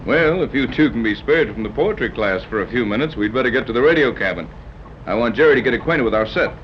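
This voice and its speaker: A man's voice: mid-range voice, animated, fast talker, slight background noise, natural voice. A man playing a dry, skeptical sea captain. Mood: wry. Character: skeptical wry male sea captain, adventurer. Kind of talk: 1930s radio acting. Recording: dull old radio recording.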